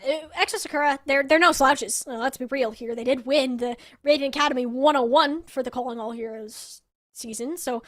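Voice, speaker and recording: Casting: female esports commentator, high voice, conversational and analytical live match calls. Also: brisk, a bit bright.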